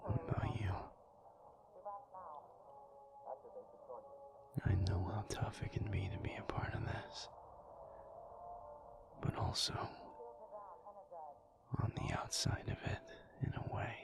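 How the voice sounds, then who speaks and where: Very low, half-whispering, weary alpha werewolf man. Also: sing-song, gravelly, full character voice. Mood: gentle, concerned. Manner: halting, pensive, confiding. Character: weary, thoughtful male alpha werewolf, tender and reflective. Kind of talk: intimate audio roleplay, soft-spoken ASMR monologue.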